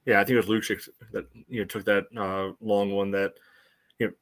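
A man's voice: on the low side, level tone, fairly quick, fairly bright tone, dry recording. A man co-hosting a sports podcast, chatting casually as he analyses a soccer match.

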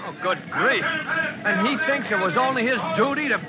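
A man's voice: very high-pitched, animated, moderate pace. An actor playing an eager young rookie fireman, earnest and deferential, in vintage radio drama style. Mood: puzzled, amazed. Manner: wide-eyed, earnest, deferential. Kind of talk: 1940s radio acting. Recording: muffled old radio recording, loud noise.

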